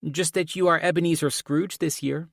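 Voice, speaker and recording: A man's voice: on the high side, fairly even intonation, normal speed, creaky, no echo, studio quality, voice pushed up. A man voicing a cheeky, sassy young daughter with a morbid streak in an audiobook. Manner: sassy, teasing. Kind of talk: audiobook character dialogue.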